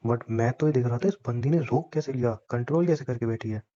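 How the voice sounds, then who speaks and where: A man's voice: medium pitch, fast, mellow. Young man teasing on a dull phone line. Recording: dull lo-fi phone call recording.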